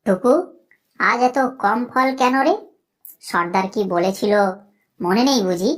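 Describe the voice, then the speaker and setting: A woman's voice: medium pitch, a little slow, lightly acted. A woman voicing a sly, taunting kite bird, henchman to a gang chief in a children's cartoon. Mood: indignant. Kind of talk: Bengali children's cartoon voice acting.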